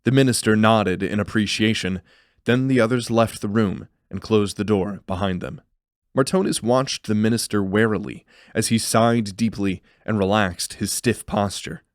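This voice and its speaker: Male narrator for fantasy audio drama: lowish voice, measured storytelling, close dry sound. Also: no echo.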